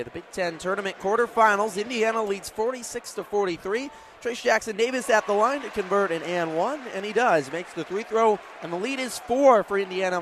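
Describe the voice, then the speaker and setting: A man's voice: high-pitched, a bit bright. An American man calling live basketball on the radio as play-by-play announcer, in clipped, descriptive phrases. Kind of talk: live radio sports commentary. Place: courtside in a large indoor arena. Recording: crowd and faint arena noise. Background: basketball crowd, bouncing ball.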